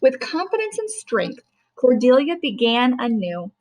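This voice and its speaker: Woman, middle-aged, high-pitched, reads rhyming picture book gently and rhythmically. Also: sing-song, measured pace, low-quality recording. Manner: gentle, sing-song rhyming reading. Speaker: teacher reading a bedtime story. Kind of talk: storybook read-aloud.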